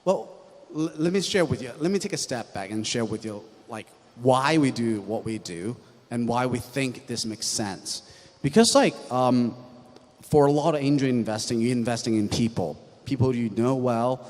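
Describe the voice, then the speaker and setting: A man from Hong Kong talking informally as an investor on a panel, halting, with "like" dropped in often. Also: medium pitch, darkish tone. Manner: halting, frequent "like". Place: conference hall panel. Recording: echoey hall with long reverb.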